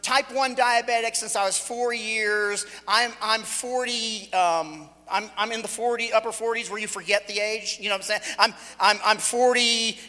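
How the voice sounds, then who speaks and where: A man in his late forties gives a loose, joking sermon with a very high voice. His tone is bright and forceful, and he talks conversationally, restarting his sentences often. The sound carries the long reverb of a church hall. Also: fairly even intonation, moderate pace, hardly any pauses. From Los Angeles, California. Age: 40s. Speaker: visiting preacher.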